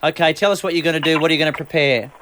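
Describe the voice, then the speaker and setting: A man's voice: a little high, racing, a bit bright, slight background noise. An Australian man hosting a radio show with casual, chatty banter. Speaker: radio host.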